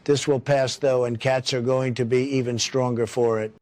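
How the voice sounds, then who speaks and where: A boastful cat demagogue, played by a man, makes pompous political speeches in a satirical audio story. He repeats himself like a stump orator, paranoid and utterly self-important, at a middling male pitch. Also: flat delivery, moderate pace, darkish tone, close to an everyday voice. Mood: solemn, self-important.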